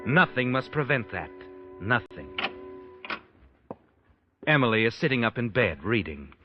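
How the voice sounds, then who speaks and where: Muffled old radio sound with loud music under an intense, ominous narrator. His fairly high male voice swings dramatically as he tells a suspense story. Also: big pitch swings, unhurried. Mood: ominous, knowing. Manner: intense, dramatic, addressing the character directly.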